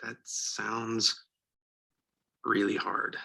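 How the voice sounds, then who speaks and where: An actor playing a calm young boyfriend who keeps trying to fix things, patient and slightly exasperated, in a comedic short film. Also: male voice, lowish voice, very slow, gravelly, slightly rough, exaggerated voice. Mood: resigned.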